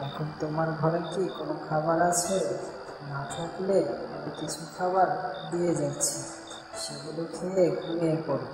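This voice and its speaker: A performer playing a gentle, protective pigeon uncle in a children's cartoon, consoling and explaining things. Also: medium pitch, steady tone, normal speed, lightly acted. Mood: reassuring. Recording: very echoey long reverb, music behind.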